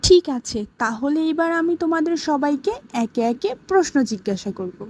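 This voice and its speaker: A woman doing a patient cartoon teacher. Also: high-pitched. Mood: gentle. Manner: slow, careful, lively sing-song teaching. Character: patient female cartoon teacher, kind and clear. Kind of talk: slow classroom lesson narration.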